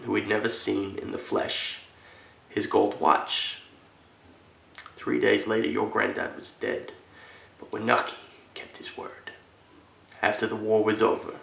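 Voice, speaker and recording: A man acting a solemn military veteran in a dramatic film monologue, telling an earnest story to a little boy with deliberate, halting phrasing. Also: fairly low pitch, gravelly, a bit bright, far from the mic, exaggerated voice. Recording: echoey room.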